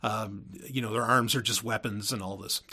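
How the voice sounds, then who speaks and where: A man hosting a podcast as an audio drama creator, talking casually about behind-the-scenes work in a halting, rambling way, repeating words for emphasis. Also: on the low side, fairly even intonation, pushed and bright, husky.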